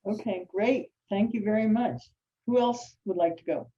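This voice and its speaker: A woman facilitating a workshop, gently encouraging participants to share. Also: medium pitch, normal speed. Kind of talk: running an online workshop. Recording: dull lo-fi sound.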